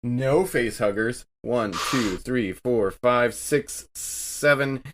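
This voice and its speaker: A man's voice: medium pitch, takes it slowly, background noise. A man taking part as a player in a tabletop roleplaying game, improvising casually.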